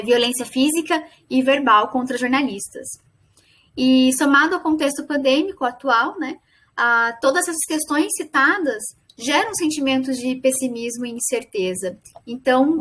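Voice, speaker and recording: Female student presenter, young, Brazilian accent, high register, explanatory delivery with self-corrections. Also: fairly bright tone. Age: young adult. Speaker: student presenting a final project. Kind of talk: academic presentation.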